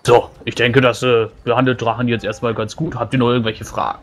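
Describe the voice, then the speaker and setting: A man's voice: mid-range voice, level tone, quick pace, natural voice. A man playing a battle-hardened, matter-of-fact dragon slayer, giving blunt improvised instruction in a tabletop fantasy game. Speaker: player in a tabletop roleplaying game. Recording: noisy recording with background ambience.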